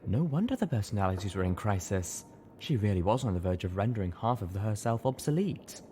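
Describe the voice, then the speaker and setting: A man's voice: fairly low pitch, lively intonation, brisk, mellow, slight background noise, lightly acted. A man voicing a genteel, composed female pony in an audiobook, polite and proper in manner. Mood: concerned. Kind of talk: acted audiobook dialogue.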